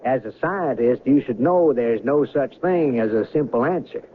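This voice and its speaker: An actor playing a calm, courteous and evasive train conductor in a radio drama. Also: male voice, medium pitch, normal speed, natural voice. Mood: calm, cryptic. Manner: polite, unruffled, cryptic. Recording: noisy, narrow-band old radio recording.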